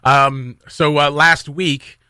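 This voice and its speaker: A man's voice: fairly high pitch, steady tone, slow pace, bright, forceful tone, clean tone, no room sound. A man hosting a radio morning show, bantering casually and interviewing live on air from a studio.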